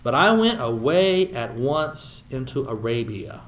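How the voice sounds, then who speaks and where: A man teaching a Bible study in a lively, emphatic way with wry asides. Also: medium pitch, unhurried. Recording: echoey room over a tinny phone-like line.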